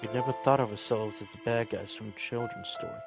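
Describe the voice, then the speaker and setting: A man reading a visual novel aloud as dramatic narration, halting now and then over the text. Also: on the low side, fairly even intonation. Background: game music.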